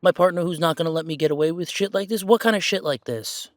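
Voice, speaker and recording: A man playing an insecure, defensive werewolf in an audio roleplay, speaking in a halting, stammering way as if confiding in someone close. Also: fairly high pitch, no room sound, lightly acted. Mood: defensive, exasperated. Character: insecure pure-blood male werewolf, self-doubting, defensive. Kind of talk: audio roleplay drama, one side of an intimate conversation.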